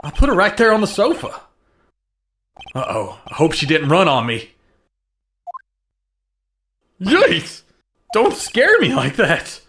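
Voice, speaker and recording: A man's voice: sits high, wildly swooping pitch, unhurried, fairly bright tone, husky, full character voice. A man playing an earnest, jumpy young defense attorney in a dramatic game fandub. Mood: startled. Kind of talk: dramatic game fandub, inner monologue and dialogue. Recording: music under the voice. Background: game music.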